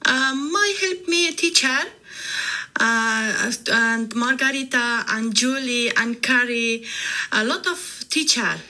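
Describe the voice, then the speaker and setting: Hesitant Afghan-accented woman, slow and bright. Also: a little high. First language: not English. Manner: hesitant, simple broken phrases. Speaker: interview guest, English learner. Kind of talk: halting interview answers.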